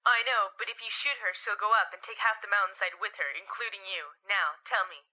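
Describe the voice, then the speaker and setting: A woman's voice: medium pitch, brisk, very noisy. A woman playing a curt, commanding team leader in an audio drama, clipped and authoritative over a radio filter. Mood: urgent, tense. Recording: dull, band-limited radio voice.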